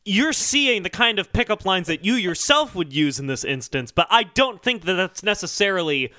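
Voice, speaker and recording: A man's voice: high voice, animated, normal speed, sharp tone, no room sound, studio quality. A man co-hosting a podcast with casual, joking banter. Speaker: podcast co-host.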